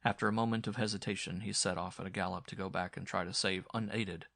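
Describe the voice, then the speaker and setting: A man's voice: sits low, fairly even intonation, quick pace, vocal fry, a bit bright, no room sound, studio quality. A man giving a dramatic scripted narration of a horror story as an audiobook narrator.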